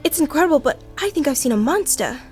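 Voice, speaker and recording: Woman acting a high, breathless, frightened sweetheart. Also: big pitch swings, fast talker, a little mellow, faint music behind. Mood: shaken. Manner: breathless, melodramatic. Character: frightened, pleading young sweetheart in a horror tale. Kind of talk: old-time radio drama acting.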